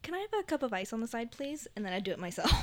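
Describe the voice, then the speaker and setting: A woman with a soft, gentle voice talks as a podcast guest. Her manner is shy and easygoing, and she laughs often between thoughts. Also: a little high, big pitch swings, fast talker. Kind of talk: casual chat.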